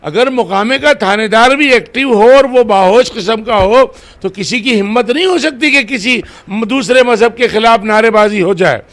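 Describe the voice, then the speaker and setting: A man answering interview questions as head of a religious organisation, deliberate and formally worded. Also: very high-pitched, fairly bright tone.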